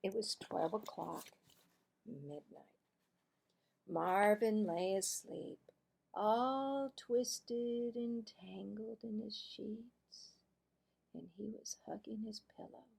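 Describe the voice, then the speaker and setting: A woman narrating a children's storybook aloud in an expressive, deliberate manner. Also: mid-range voice, gravelly, husky. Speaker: teacher-style read-aloud narrator.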